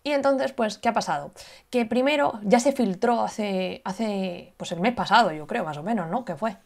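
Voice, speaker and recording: A woman's voice: mid-range voice, moderate pace, vocal fry. Chatty woman co-host. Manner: chatty, informal. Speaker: co-host of a manga and anime news show. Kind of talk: casual conversational news chat. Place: home room on a video call.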